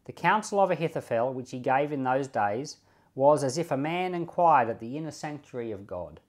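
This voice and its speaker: A man reading scripture and giving informal devotional commentary in a measured, deliberate way, leaning on key words. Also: medium pitch, animated. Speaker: Bible reader and pastor giving commentary.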